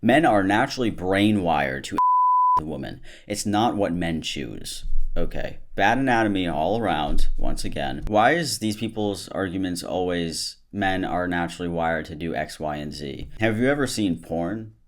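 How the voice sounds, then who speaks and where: A man's voice: sits low, animated, moderate pace. A man hosting a comedic commentary video, reading out online posts and mocking them with sarcastic jokes. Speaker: YouTube commentary host.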